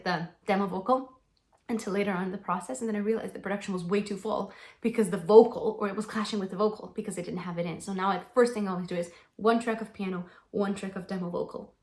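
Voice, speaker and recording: A young woman with Slovenian as her first language, chatting casually to the camera as a singer-songwriter answering fan questions, bubbly and gushing, with frequent ums and restarts. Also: medium pitch. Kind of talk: casual Q&A vlog.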